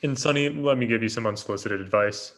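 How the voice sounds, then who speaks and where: A man's voice: mid-range voice, quick pace, a bit bright, close to an everyday voice. A man playing a smug, folksy politician of about fifty in a comedy table read, condescending in his charm.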